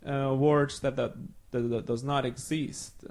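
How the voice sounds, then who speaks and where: A man's voice: mid-range voice, steady tone, a little slow, darkish tone. A young man from Iran whose first language is Farsi, a podcast guest talking casually and searching for his words in English. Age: young adult. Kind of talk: casual chat.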